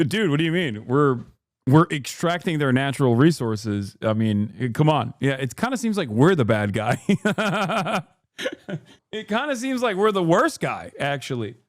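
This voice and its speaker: A man streaming live and reacting to a debate clip, joking and laughing often, dropping to low mutters and jumping to loud high outbursts. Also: very animated, unhurried, dry recording, studio quality. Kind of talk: casual reaction commentary.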